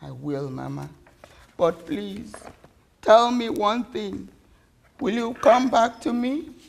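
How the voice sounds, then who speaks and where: A woman voicing a hurt, anxious young daughter in a story, halting and pleading as she questions her mother. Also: mid-range voice, vocal fry.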